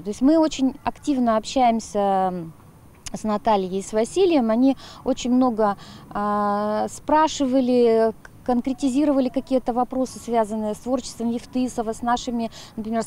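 A middle-aged woman answers an interviewer in a soft-edged, fairly high voice. She speaks outdoors into a handheld microphone, pausing to find the right word. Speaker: blog author being interviewed. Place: outdoors, grassy yard by apartment blocks. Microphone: handheld reporter's microphone.

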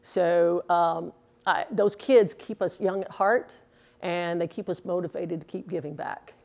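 Gravelly low-voiced woman in her sixties. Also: fairly even intonation, a little slow, darkish tone. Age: 60s. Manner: chatty, earnest, rambling with fillers. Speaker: interviewee, former pro bowler. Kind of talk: casual interview answers. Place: indoors in a bowling alley. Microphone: lapel mic.

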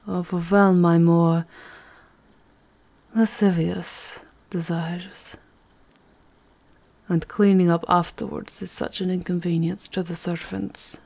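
A woman playing a seductive, aristocratic vampire countess in an intimate ASMR roleplay, with a breathy, husky voice that often drops to a whisper. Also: on the low side, a little mellow. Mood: languid, intimate. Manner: slow, sensual, unhurried murmuring.

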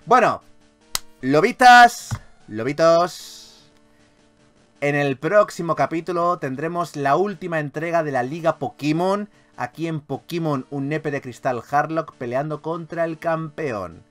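A man with an accent from Spain giving casual, excitable gaming commentary, repeating his phrases and swearing freely. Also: on the high side, a little slow. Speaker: gaming YouTuber, host. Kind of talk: casual, excitable Pokémon let's play commentary. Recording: game music behind voice. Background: video game music.

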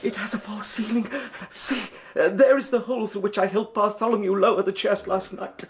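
An actor playing a nervous, fretful English gentleman in a radio drama, tremulous and plaintive. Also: sits high, steady tone, fairly quick. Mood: panicky.

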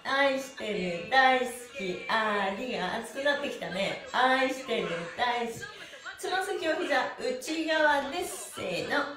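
A high-voiced middle-aged woman teaches a stretching class in a cheerful, encouraging way. She calls out moves and chants in a sing-song while exercising. The room is echoey, with music playing behind her. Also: expressive, slow. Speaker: stretching instructor, pantomime performer. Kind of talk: live-streamed exercise class with counted chants. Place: small bare-walled indoor studio. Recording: roomy echo with music behind.

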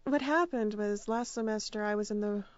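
A woman's voice: medium pitch, normal speed, no echo, highs cut off. A woman speaking as a radio drama producer and director, giving casual answers in an interview.

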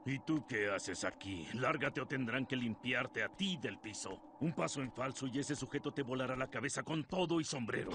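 A man's voice: medium pitch, normal speed, few pauses, a little hiss or hum, close to an everyday voice. A man playing an arrogant, cruel bandit boss in an anime dub, threatening and mocking. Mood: menacing. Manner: threatening, mocking, bursts into cackling and shouting. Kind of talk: Spanish anime dub acting. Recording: loud music behind the voice.

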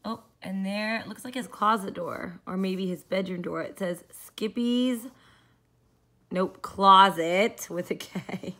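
A woman reading a children's story aloud, deliberate and explanatory, with simple asides for young listeners. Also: medium pitch, steady tone, fairly bright tone. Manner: slow, deliberate, explains pictures and words to children.

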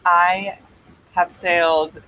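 A woman's voice: fairly low pitch, level tone, extremely slow, bright, forceful tone, poor audio. A woman in her thirties from Hungary, whose first language is Hungarian, chatting as a sailing crew guest on a boat live stream. She answers in a friendly, easy way. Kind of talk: casual chat. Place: boat cockpit in a marina, outdoors.

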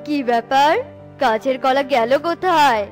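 A stern eagle master played by a woman with a high-pitched voice. The manner is bossy and scolding, in cartoon style, with music underneath. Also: moderate pace, close to an everyday voice. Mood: angry. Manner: scolding, commanding. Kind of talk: children's cartoon dubbing.

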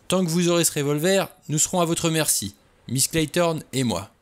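A man's voice: on the high side, moderate pace, pitched above the performer's own voice, natural voice. A man reading a blunt, stubborn suspicious man. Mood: gruff. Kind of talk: audiobook reading.

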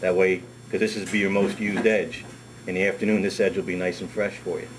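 A middle-aged man, a ski school director, demonstrating a hands-on task in a wood-paneled workshop, talking in a relaxed, chatty way and repeating words as he works. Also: low-pitched, a little fast, rough recording. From United States. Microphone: camera mic on a workbench. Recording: camera audio with steady background noise.

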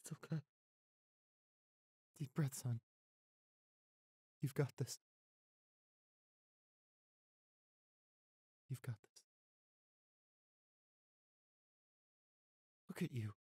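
A young man acting an anxious, devoted boyfriend in a roleplay audio, halting and stammering as he pleads. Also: mid-range voice, dark tone, husky, dry recording, clean studio sound. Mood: soothing, hushed.

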